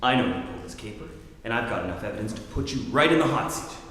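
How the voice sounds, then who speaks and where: A man acts a hardboiled private eye from a 1940s radio show. His voice is on the low side, tough and smug. It rings in a very echoey hall with faint noise. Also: animated, rapid-fire, close to an everyday voice. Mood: tough, accusing. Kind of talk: 1940s detective radio acting.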